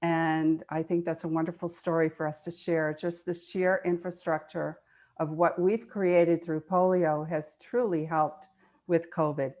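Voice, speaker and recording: A woman's voice: sits low, flat delivery, measured pace, a little mellow. An older woman speaking conversationally as a guest in an online meeting, explaining things earnestly and repeating words for emphasis. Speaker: guest speaker, nonprofit foundation trustee.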